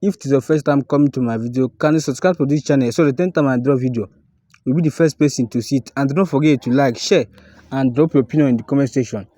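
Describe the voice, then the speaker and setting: A man's voice: mid-range voice, quick pace, warm tone, no echo, studio quality. A man with a Nigerian accent who slips into Pidgin, earnestly introducing a video on his channel and urging viewers to subscribe and share. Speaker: YouTube channel host.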